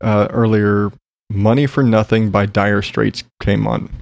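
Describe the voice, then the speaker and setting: Gravelly, low-voiced congested man rambling. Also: flat delivery, unhurried, darkish tone, dry recording. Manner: rambling, swears casually, many fillers. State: recovering from illness, congested. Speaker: podcaster. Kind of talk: casual solo monologue.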